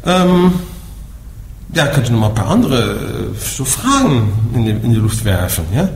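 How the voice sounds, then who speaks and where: Dutch-accented man giving a lively dharma talk. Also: mid-range voice, normal speed. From Netherlands. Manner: explaining, asks "ja?" after nearly every point. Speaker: Buddhist teacher. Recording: echoey room with faint background noise.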